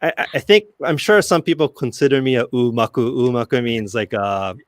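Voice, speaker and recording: A young Okinawa-born man, Japanese his first language, co-hosts a live talk broadcast from a studio. He rambles and pokes fun at himself, restarting sentences and filling gaps with "uh" and "you know." His voice sits at an ordinary middle pitch, and the sound is close and dry. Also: a little fast, dry recording. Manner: rambling, self-deprecating, many fillers and restarts. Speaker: co-host. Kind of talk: casual live talk show chat.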